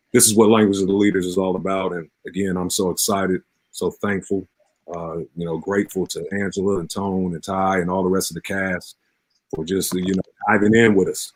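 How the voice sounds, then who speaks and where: Low-voiced earnest man in his forties. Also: flat delivery, fairly quick, no echo, low-quality recording. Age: 40s. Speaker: pastor and interview guest. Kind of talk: earnest interview talk.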